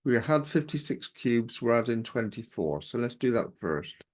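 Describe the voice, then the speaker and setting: A man with a croaky voice, working through exam maths questions aloud step by step as a tutor. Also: mid-range voice, moderate pace. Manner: steady step-by-step, talks himself through sums.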